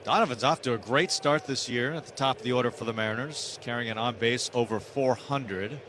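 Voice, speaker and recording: A man's voice: medium pitch, fairly quick. A man doing live radio play-by-play commentary of a baseball game. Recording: steady background ballpark noise. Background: outdoor stadium ambience.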